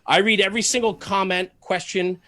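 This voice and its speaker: A man with a slightly higher-than-average voice talks casually as an interview guest. He is earnest and emphatic, often repeating phrases for stress. The sound is fairly dry and close. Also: steady tone, a little fast, sharp tone, no echo. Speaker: podcast guest, fire service media host. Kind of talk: casual podcast interview, passionate monologue.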